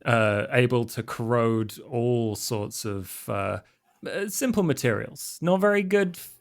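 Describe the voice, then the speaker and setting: A man's voice: medium pitch, measured pace. Casual man playing a tabletop game. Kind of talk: casual chat describing character's actions.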